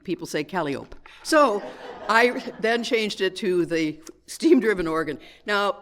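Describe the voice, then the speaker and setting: A woman giving a children's message at church, telling a story in measured, clearly phrased sentences to a congregation. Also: on the low side.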